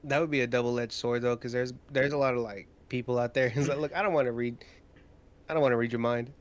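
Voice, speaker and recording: Young guy, mid-pitched voice, relaxed, chuckles between lines. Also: quick pace. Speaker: video editor. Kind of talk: casual chat.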